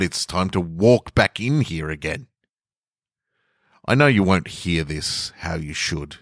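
A man's voice: deep voice, fairly bright tone, no echo, studio quality. A man reading a story monologue as an aggrieved, uncomfortable office employee making an earnest, defiant stand. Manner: deliberate, emphatic, earnest.

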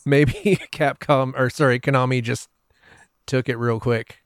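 Clear-voiced man chatting casually, close and dry. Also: mid-range voice, fairly even intonation, measured pace, no room sound. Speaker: podcast guest. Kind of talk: casual chat, telling personal anecdotes.

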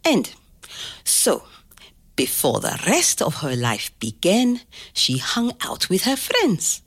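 A grandmother in an audio drama, fond and proud as she tells her story. Her delivery is unhurried and measured, with lively, swooping intonation that draws a young listener in. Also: on the low side, husky, heavily acted. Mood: reflective.

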